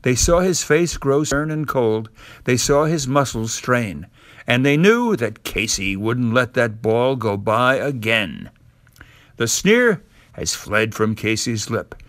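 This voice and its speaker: A man recites narrative verse in a bright, forceful voice. He takes his time with each phrase and leans on the key words theatrically. The sound is close and dry. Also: mid-range voice, very animated, no echo. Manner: theatrical, measured, emphatic delivery. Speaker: poem reader. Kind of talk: dramatic poetry recitation.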